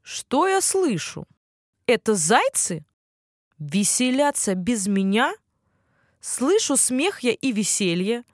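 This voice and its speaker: A woman voicing a proud, hungry male wolf in a children's fairy tale, with a drawn-out, sing-song delivery. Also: on the high side, sing-song, no echo, studio quality. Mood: hungry anticipation.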